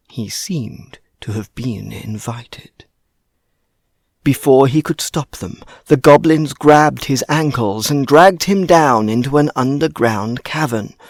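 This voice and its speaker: A man narrating a children's story in a theatrical, deliberate, dramatic style. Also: mid-range voice, creaky, no echo, studio quality.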